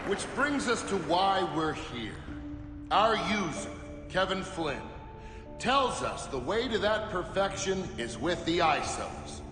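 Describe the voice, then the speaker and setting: A proud administrator program, declaiming, lively pitch, music behind. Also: male voice, takes it slowly, reverberant, big-room sound, heavily acted. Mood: ceremonial. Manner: declamatory, ceremonial. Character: proud male system administrator program giving a speech. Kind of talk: video game cutscene acting.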